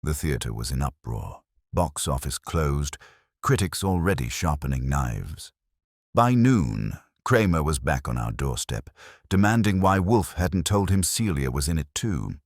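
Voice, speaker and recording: A man's voice: rumbling low, sing-song, unhurried, gravelly, no room sound, clean studio sound. A man reading a scripted first-person detective story as its narrator.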